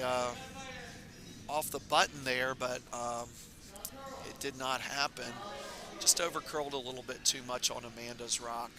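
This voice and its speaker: Raspy-voiced man doing curling commentary. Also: mid-range voice. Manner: relaxed, rambling, many fillers. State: hoarse from talking all weekend. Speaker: curling club stream commentator. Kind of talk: casual live sports commentary. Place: curling club.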